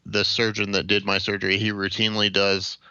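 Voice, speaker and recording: An American man in his late twenties giving a measured, matter-of-fact account as an interview guest. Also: on the low side, monotone, pushed and bright, clean tone, no echo, highs cut off. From Ohio, United States. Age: late 20s. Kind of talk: recounting personal experience in an interview.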